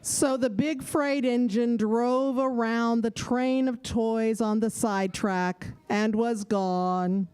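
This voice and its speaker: High, slow-paced woman storyteller. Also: fairly even intonation, darkish tone, smooth. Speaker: narrator. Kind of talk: rhyming children's story narration for live audio theater.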